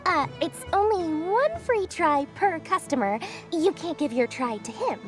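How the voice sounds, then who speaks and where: A woman's voice: extremely high pitch, very animated, measured pace, full character voice. A woman voicing a scheming little female merchant in a video game, with a theatrical sales patter. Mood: salesy. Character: scheming, greedy little female merchant running a prize stall. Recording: music behind the voice.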